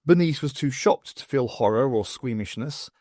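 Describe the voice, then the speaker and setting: A man's voice: medium pitch, animated, normal speed, darkish tone, no echo, clean studio sound. A man reading a novel aloud as an audiobook narrator, in scripted storytelling style.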